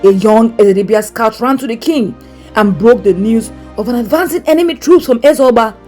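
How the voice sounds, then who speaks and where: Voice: medium pitch, a little fast, darkish tone. Dramatic storyteller with music behind. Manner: solemn, theatrical storytelling. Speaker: narrator. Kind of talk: dramatic scripted folktale narration.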